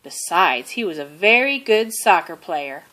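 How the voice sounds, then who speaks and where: A woman reading a children's story aloud expressively, deliberately stressing phrases. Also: on the low side, expressive, sharp tone. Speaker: storybook reader.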